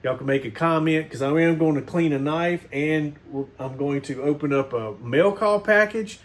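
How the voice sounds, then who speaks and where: A man's voice: on the high side, moderate pace. A man, hosting a casual knife video and rambling to his viewers with lots of fillers and restarts. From Missouri, USA. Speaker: knife channel host.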